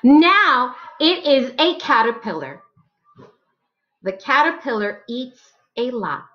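High-pitched woman reading slowly to children. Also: very animated, fairly bright tone, lo-fi sound. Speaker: teacher reading picture books aloud. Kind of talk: slow, expressive read-aloud for children.

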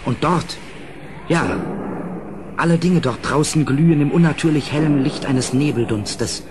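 A man playing an anxious, well-mannered houseguest in a gothic horror radio play, with a grave, literary delivery and a husky voice. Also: a little high, measured pace, background noise, close to an everyday voice. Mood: uneasy.

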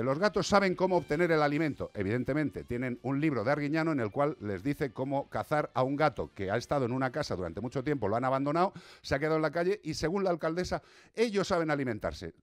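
A man's voice: fairly high pitch, dry recording. A middle-aged man with a Castilian Spanish accent, hosting a radio show with a blunt, indignant and sarcastic opinion monologue. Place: small radio studio, indoors. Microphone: close studio microphone on arm.

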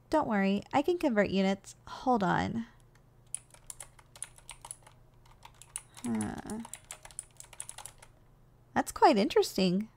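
A woman playing a doting, gentle giantess secretary in an ASMR roleplay, speaking in a caring, softly teasing, slightly hesitant way. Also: on the high side, vocal fry. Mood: curious, playful.

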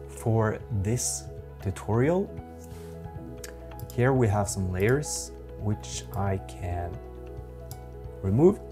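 A man in his forties with a Norwegian accent, giving a software tutorial in a methodical, halting way. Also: on the low side. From Norway. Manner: slow, methodical, halting explanations. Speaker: photography instructor.

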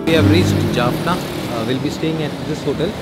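A man, narrating his road trip as a travel vlogger in a relaxed, halting way with many fillers. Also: fairly high pitch, over loud music, rough recording. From India. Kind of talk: casual travel vlog narration.

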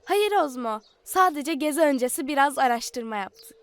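A woman's voice: high-pitched, expressive, normal speed. A woman voicing a polite, knowledgeable, enthusiastic child in a children's cartoon, explaining things neatly. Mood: keen, informative. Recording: music under the voice.

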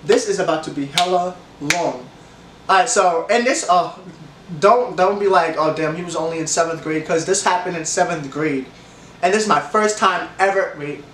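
A young man with a stuffy nose, talking in a fairly high voice, rambles through a casual story time with lots of laughs and restarts. The room has a noticeable echo, and the camera mic sits a little way off with a faint hum behind him. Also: normal speed. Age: young adult. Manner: rambling, animated, laughs often, hand gestures. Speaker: vlogger. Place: room with leather couch, indoors. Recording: echoey room, camera a bit far.

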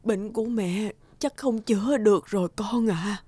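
A woman playing a frail, gentle old mother in a fairy-tale cartoon. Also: medium pitch, normal speed, slightly rough, dry recording, lightly acted. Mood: weary, sad.

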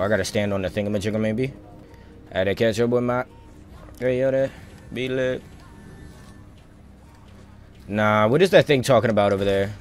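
Slangy man streaming a game, music behind. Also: lowish voice. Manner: slangy, swearing, muttering to himself. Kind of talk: casual live gameplay commentary. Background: music and game audio.

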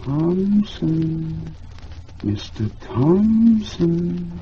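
A man voicing the sad, solemn ghost of a dead painter in an old radio drama, calling in slow, hushed tones. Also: on the high side, expressive, soft background music, pitched above the performer's own voice. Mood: mournful, commanding. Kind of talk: 1950s radio drama acting. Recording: dull, noisy old radio recording.

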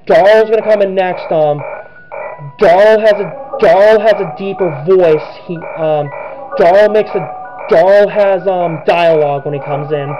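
A man's voice: sits high, a little slow. A young man giving nervous, rambling gameplay commentary with many restarts, his voice cracking. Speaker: gamer. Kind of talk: let's play commentary. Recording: dull lo-fi sound with game music.

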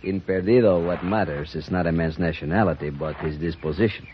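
Deep, sly Mexican town mayor, acted by a man. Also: slight background noise, lightly acted. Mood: suave, guarded. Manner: courteous, insinuating, measured. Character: smooth, sly red-headed Mexican-Irish town mayor. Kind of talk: 1950s radio western acting. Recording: dull, muffled 1950s radio recording.

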